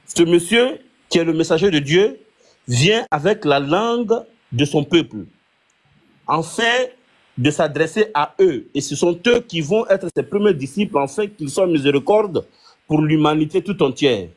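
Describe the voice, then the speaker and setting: A man's voice: on the high side, animated, measured pace. A man leading a live religious debate, arguing his point with frequent restarts. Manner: argumentative, many restarts.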